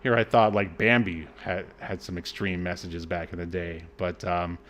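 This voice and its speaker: A man's voice: sits low, level tone, moderate pace, a bit bright, a little hiss or hum. A man co-hosting a podcast, recapping a film casually in a sardonic, exasperated way with some swearing. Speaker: podcast co-host.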